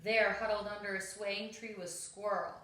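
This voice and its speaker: Bright-voiced woman reading aloud, very echoey room. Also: mid-range voice, moderate pace. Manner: expressive, dramatic emphasis. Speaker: storybook reader. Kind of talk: scripted children's story read-aloud. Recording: roomy, echoing home recording.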